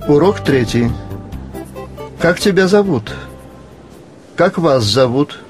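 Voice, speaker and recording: A man with a fairly high voice reads language lesson questions. His intonation is lively and he takes his time. Faint music sits behind him. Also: slow. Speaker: language course reader. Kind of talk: scripted lesson questions and titles.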